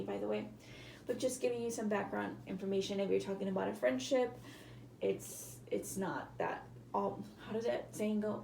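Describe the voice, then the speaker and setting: Hoarse woman doing a casual tarot reading. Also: mid-range voice, warm tone, roomy sound, slight background noise, poor audio. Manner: chatty, apologetic, hedging with fillers. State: hoarse, cracking voice. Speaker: tarot reader.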